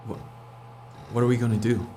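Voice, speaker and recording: A man's voice: medium pitch, steady tone, brisk, noisy background, close to an everyday voice. A man playing a hot-headed, sceptical astronaut technician in a science fiction audio drama, sardonic and informal. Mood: grim.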